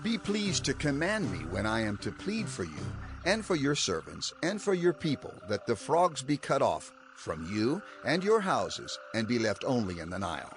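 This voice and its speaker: A man's voice: medium pitch, wildly swooping pitch, normal speed, over music. A man playing an earnest prophet in a dramatized Bible reading, emphatic and declamatory. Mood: earnest, firm. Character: Moses, earnest prophet pleading before a king.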